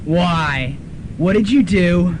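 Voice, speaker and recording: A man's voice: high voice, slow pace, a little hiss or hum. A man playing a panicky student roommate in a student radio drama. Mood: alarmed. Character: Laszlo, young male student, panicky.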